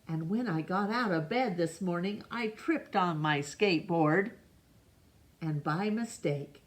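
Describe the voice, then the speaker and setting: Lady doing a whiny-worded little kid from a picture book, super dramatic, complaining, draws words out slow. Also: on the low side, close to an everyday voice. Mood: glum, exasperated. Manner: complaining, emphatic, drawn-out words. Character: aggrieved, dramatic young boy narrating his awful day.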